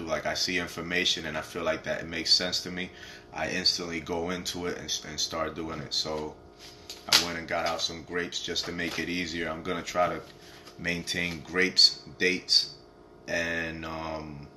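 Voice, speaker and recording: A young man with a raspy voice, rambling in a casual motivational talk to camera, often asking "you feel me". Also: sits low, sharp tone, slight background noise. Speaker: vlogger.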